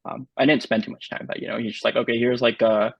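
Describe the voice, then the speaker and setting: A man speaking as an interview guest in a casual, halting way, full of ums and likes. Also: medium pitch, level tone, vocal fry, slightly rough, dry recording, muffled recording. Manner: halting, filler-heavy, lots of "like" and "um". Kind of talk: casual conversation.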